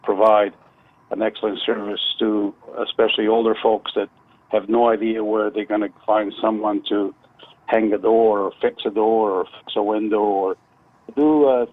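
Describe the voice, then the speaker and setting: An older man calling in to a radio show on a phone line, chatting casually and haltingly, repeating words as he goes. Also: fairly low pitch. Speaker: phone-in guest, retired contractor. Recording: muffled narrowband phone line.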